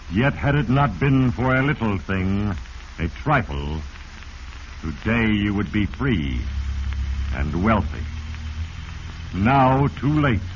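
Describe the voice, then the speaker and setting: An actor playing Fate as a solemn, all-knowing, portentous narrator in a 1940s radio drama. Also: male voice, mid-range voice. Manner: ominous, portentous, theatrical. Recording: muffled, hissy 1940s radio recording.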